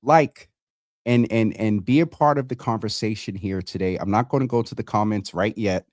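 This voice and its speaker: A man hosting a live community talk show in a conversational, halting way, often repeating words and circling around his point. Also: fairly low pitch, a little mellow, no echo, clean studio sound.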